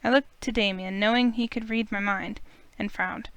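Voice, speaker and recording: Clear-voiced woman reading a visual novel. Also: mid-range voice, moderate pace, no echo. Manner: steady first-person narration. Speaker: let's play reader.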